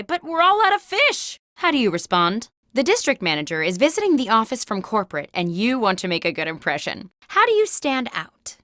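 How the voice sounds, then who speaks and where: A playful female game host with an office theme. Her mid-pitched voice moves up and down in a very lively, theatrical way as she delivers scripted comic prompts. The sound is dry and studio-clean. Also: no echo. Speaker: game show host in a party video game. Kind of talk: scripted comedic prompt reading.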